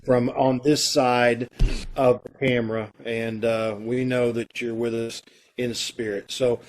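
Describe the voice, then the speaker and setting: A man's voice: medium pitch, level tone, takes it slowly. A man chatting in a folksy, casual way as he hosts an amateur theatre troupe's online show over a video call. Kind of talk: casual welcoming chat to an online audience.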